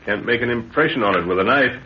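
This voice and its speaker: A man playing a practical, commanding professor and father who leads an expedition in a 1930s radio adventure serial, with dry remarks and brisk orders. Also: mid-range voice, close to an everyday voice. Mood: measured, practical. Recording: muffled, hissy 1930s radio recording.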